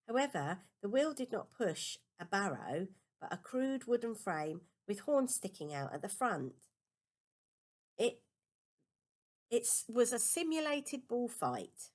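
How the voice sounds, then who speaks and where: A woman reading a children's novel aloud as a first-person story, with expressive, deliberate emphasis. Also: medium pitch. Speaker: amateur audiobook reader.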